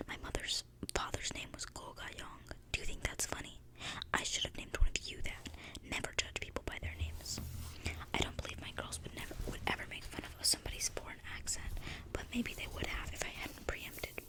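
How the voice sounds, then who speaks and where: A woman speaks in a soft, breathy, intimate whisper, reading a book aloud in ASMR style with casual asides. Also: rough recording. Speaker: ASMR video creator. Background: thunderstorm outside the window.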